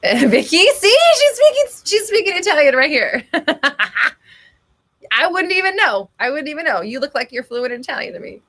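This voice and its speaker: Bright-voiced excitable woman hosting live chat. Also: normal speed. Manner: chatty and blunt, swears freely, reads comments aloud; excitable, gasps and laughs, voice jumps high. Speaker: host answering live chat questions. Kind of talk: casual live-stream Q&A.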